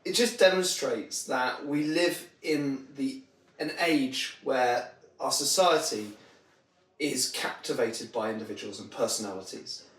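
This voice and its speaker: A man's voice: medium pitch, a little slow, fairly bright tone. A man giving conversational explanations as an interview guest, illustrating his points with examples. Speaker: podcast guest, marketing trainer. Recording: roomy echo, distant microphone.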